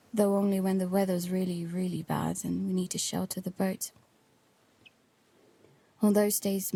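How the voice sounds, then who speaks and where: A woman's voice: mid-range voice, gravelly, dark tone. A young woman playing an earnest, restless daughter narrating life aboard a boat in an intimate, confiding audio drama style.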